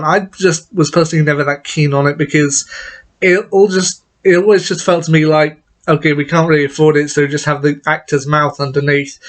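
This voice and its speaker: A man with a somewhat high-pitched voice chats through his review of an audio drama. He sounds enthusiastic and casual, often restarting a sentence or adding an aside mid-thought.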